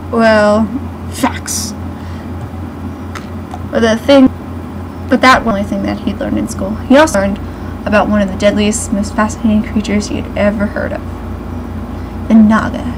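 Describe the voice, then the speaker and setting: A woman giving a mocking dramatic reading with snarky asides, halting and stumbling over the words. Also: on the high side. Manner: sarcastic, halting delivery with frequent stumbles. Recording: noisy home recording with clear background hum.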